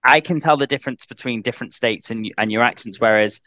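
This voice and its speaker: A man's voice: mid-range voice, quick pace, pushed and bright, no echo. A man with a Northern English accent, a guest on a podcast, talking in a chatty, rambling way with many restarts. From Northern England. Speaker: guest, head of sales. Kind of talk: casual podcast chat.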